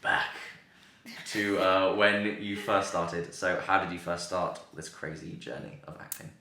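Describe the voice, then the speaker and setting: A man's voice: sits low, steady tone, a little slow, bright, forceful tone. A man hosting a podcast interview in an upbeat, conversational style. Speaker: podcast host. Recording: roomy echo, music behind.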